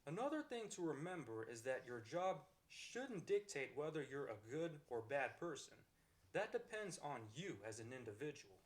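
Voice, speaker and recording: A man voicing a kind-hearted, accepting boyfriend in a romantic ASMR roleplay, speaking in a tender, earnest and reassuring way. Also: fairly high pitch. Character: kind-hearted, devoted, accepting boyfriend comforting his girlfriend.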